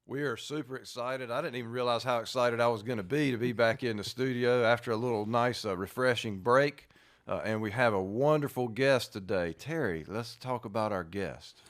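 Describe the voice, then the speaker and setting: A man's voice: mid-range voice, normal speed. A man hosting a podcast in a conversational style. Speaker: podcast host.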